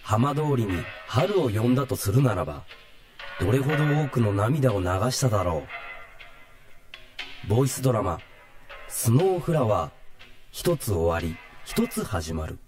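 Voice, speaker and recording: Low, expressive male narrator over music. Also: normal speed, pauses often. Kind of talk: scripted documentary drama narration. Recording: voice under prominent music.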